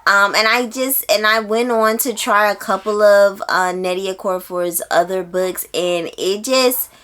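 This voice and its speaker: A woman book vlogger talking casually and informally to viewers, using slang and repeating words for emphasis in an expressive way. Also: medium pitch, unhurried.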